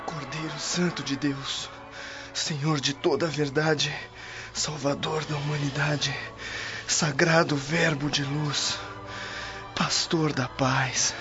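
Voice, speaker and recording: A devout martyr reciting a prayer in a radio drama. His voice sits a little high and is solemn and pleading. Music plays clearly behind him on a muffled recording. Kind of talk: audio drama acting. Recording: dull lo-fi audio, loud music behind.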